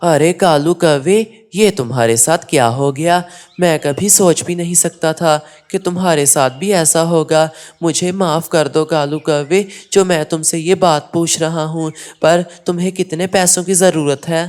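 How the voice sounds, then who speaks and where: A woman's voice: deep voice, a little slow, few pauses, darkish tone. A woman voicing a kind, polite male pigeon in a children's cartoon, with an apologetic, concerned manner. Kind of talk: Hindi kids' cartoon dubbing.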